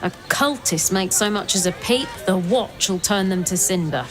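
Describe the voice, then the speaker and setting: An actress playing a stubborn, mocking city gate guard in a fantasy game, blunt and dismissive. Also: female voice, medium pitch, lively intonation, moderate pace, over music, lightly acted. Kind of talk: video game character acting.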